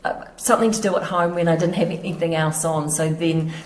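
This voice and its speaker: A middle-aged woman with a New Zealand accent, slightly hoarse, chatting casually in an interview about her quilting, halting and laughing easily. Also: on the low side, steady tone. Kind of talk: casual chat. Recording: echoey room.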